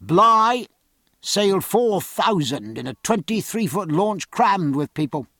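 A man's voice: sits high, very animated, takes it slowly, fairly bright tone, no room sound, clean studio sound, pitched above the performer's own voice, full character voice. A man voicing a bold, commanding sea captain in an audiobook, speaking in hearty, emphatic speeches to his crew. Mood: defiant, emphatic. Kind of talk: audiobook character acting.

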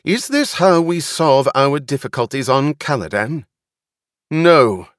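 A man voicing a resolute noblewoman who rules, speaking in a formal, deliberate and authoritative manner in an audiobook. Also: sits low, expressive, fairly bright tone, dry recording, clean studio sound, full character voice. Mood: stern, commanding. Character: stern, commanding noblewoman ruler, measured and resolute.

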